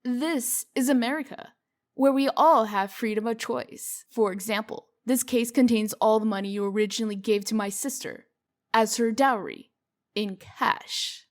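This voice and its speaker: A woman voicing a brisk, shrewd female official in a comic dub, firm, dry and sardonic in manner. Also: a little high, lightly acted. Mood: stern, businesslike.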